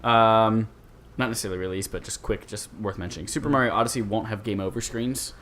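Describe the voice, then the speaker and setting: A man's voice: fairly low pitch, fairly even intonation, fast talker, fairly bright tone. A man co-hosting a podcast, running casually through video game news items. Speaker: podcast co-host.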